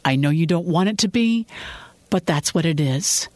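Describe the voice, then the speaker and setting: Narrator doing a worried, blunt husband. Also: male voice, on the high side, animated, normal speed, darkish tone, no echo, natural voice. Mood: stern. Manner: anxious, then stern and blunt. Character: worried, frustrated adult husband.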